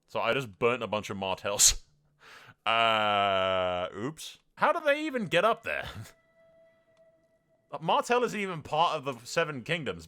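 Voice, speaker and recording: A man's voice: lowish voice, pushed and bright. A man commentating casually on a roleplay strategy game playthrough, with an informal, slangy way of talking. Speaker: gaming channel host.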